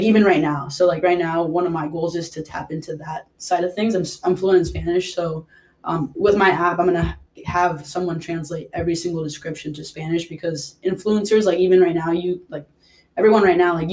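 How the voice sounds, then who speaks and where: A man's voice: fairly high pitch, steady tone, a little fast, darkish tone, rough recording. A young man from Mexico, a personal trainer, chatting casually as a guest and stringing thoughts together with lots of "like". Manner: rambling, filler-heavy, says "like" a lot. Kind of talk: casual podcast interview.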